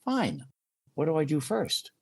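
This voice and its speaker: A meek, befuddled fellow in a radio comedy. His voice is husky and jumps all over in pitch. He sounds hapless and easily flustered. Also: huge pitch swings, unhurried, heavily acted. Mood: meek, hopeful. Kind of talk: old-time radio comedy acting.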